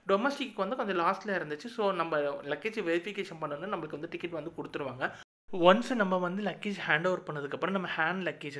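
A man's voice: high voice, sharp tone. A man with a Tamil accent narrating a casual travel vlog, chatty and full of advice, leaning on lots of filler words. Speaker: travel vlogger.

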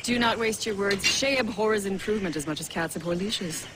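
A woman's voice: mid-range voice, a little fast, lightly acted. Woman playing a stern assassin trainer. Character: stern female assassin trainer, dry wit. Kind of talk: video game cutscene acting. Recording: game audio with ambient noise.

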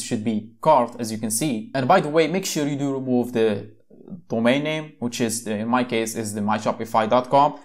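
A man's voice: medium pitch, a little mellow. Young man from Morocco, laid-back tutorial host, chatty with fillers, desk mic at home. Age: young adult. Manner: casual, conversational, frequent fillers and restarts. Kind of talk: screen-share software tutorial. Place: small room at home, desk setup.

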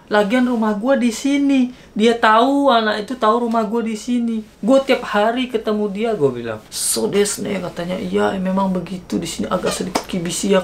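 A man in his thirties, seated in a small bedroom with a gaming setup, telling a story casually and acting out the dialogue with lively shifts in pitch, recorded on a camera mic. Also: slight background noise. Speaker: vlogger. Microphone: camera mic, seated facing camera.

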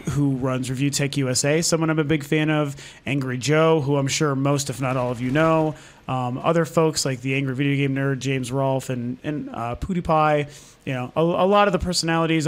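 A man from Colorado who is losing his voice, talking casually at home to his channel's viewers with many ums and restarts. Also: fairly high pitch. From Denver, Colorado, US. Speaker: gaming channel host. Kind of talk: casual talk to camera audience over gameplay footage.

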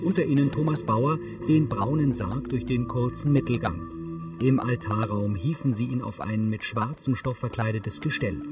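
Measured male narrator over music. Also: mid-range voice. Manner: measured, matter-of-fact. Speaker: audio description narrator. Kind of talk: scripted narration.

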